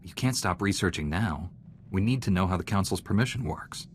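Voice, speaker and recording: Low-voiced, composed Jedi Knight hero. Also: male voice, lively intonation, a little fast, a little hiss or hum. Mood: calm, probing. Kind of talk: video game dialogue acting.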